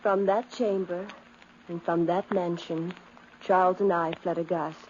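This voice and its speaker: Woman playing an earnest gentlewoman on muffled radio. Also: fairly low pitch, steady tone, a little slow, lightly acted. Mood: grave. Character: frightened, pleading, devoted young gentlewoman cousin. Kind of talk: 1950s radio acting. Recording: muffled, noisy 1950s radio recording.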